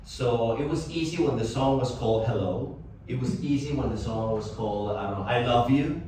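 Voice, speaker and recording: A man's voice: mid-range voice, normal speed. A man in his forties from Colombia, a native Spanish speaker, teaching an English class with jokes and lots of questions to students. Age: 40s. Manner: joking, lively, asks students questions. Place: language school classroom. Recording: echoey classroom, mic far off, noisy.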